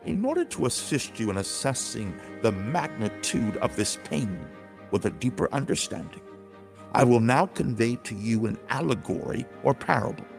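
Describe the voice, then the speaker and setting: A man reading scripted, dramatized audiobook narration in a solemn, emphatic, deliberate storytelling manner. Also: medium pitch, animated, creaky. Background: music under the voice.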